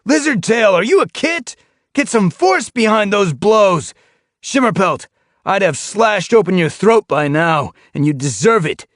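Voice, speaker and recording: A man voicing a fierce young male cat leader who yells and snarls harsh commands at his warriors in an audiobook. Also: sits high, expressive, a little slow, no echo, clean studio sound, pitched above the performer's own voice. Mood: yelling.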